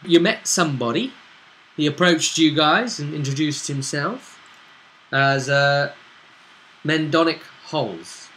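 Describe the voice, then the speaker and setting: Bright-voiced male game master, slightly high. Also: slow pace, long pauses, a little hiss or hum, rough recording. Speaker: game master and show host. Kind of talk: casual recap of a tabletop role-playing session.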